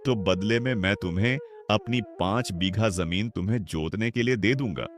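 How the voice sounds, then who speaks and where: A man's voice: on the low side, normal speed, lightly acted. A man voicing a generous older village headman in a cartoon, talking in a confident, benevolent way. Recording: background music under voice.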